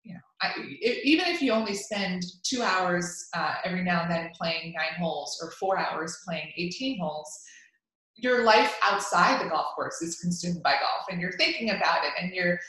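Bright-voiced woman, echoey room. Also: fairly low pitch, lively intonation, moderate pace. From Cuban family. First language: Spanish. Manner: upbeat, chatty, many fillers and restarts. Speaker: golf media executive. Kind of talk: interview answers.

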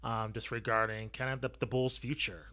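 A man with a bright, forward voice and an average pitch hosts a sports podcast. He asks his questions in a casual, conversational interviewing style. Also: steady tone, moderate pace.

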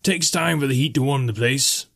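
A jovial, stout tavern keeper from a fantasy audiobook, acted by a male narrator. His voice is pitched up and hearty, and he speaks in exclamations like a welcoming host. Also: moderate pace, a bit bright. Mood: gruff, loud. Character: hearty, tall, stout bartender of a northern town, friendly. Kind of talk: fantasy audiobook character dialogue.

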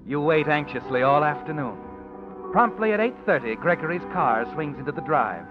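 A man narrating a radio drama in the second person, measured and ominous. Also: fairly high pitch, animated, highs cut off. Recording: old radio recording with music underneath.

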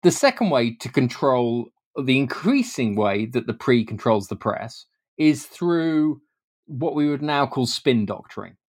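A man with a British accent and a medium-pitched voice gives an informal, explanatory interview as a guest author. He talks in a halting way, often doubling back on words before carrying on. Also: big pitch swings. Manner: hesitant, often repeating words mid-sentence.

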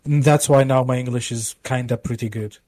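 A man's voice: medium pitch, fairly even intonation, normal speed, darkish tone, no room sound. A man, a guest on a casual podcast, chatting and laughing along in fluent second-language English. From Belgium.

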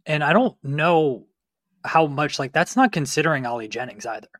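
A man's voice: a little high, animated, normal speed, a bit bright, no room sound, studio quality. A man co-hosting a sports podcast, giving casual, conversational analysis.

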